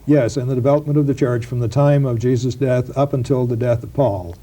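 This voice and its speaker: A man being interviewed as a professor, explaining history in a halting way with many fillers and restarts, in a 1990s broadcast interview. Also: medium pitch, steady tone, warm tone.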